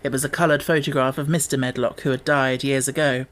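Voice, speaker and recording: A man narrating a children's novel as an audiobook in a measured, clearly phrased way. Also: medium pitch, little pitch movement, fairly bright tone. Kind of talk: scripted storybook narration.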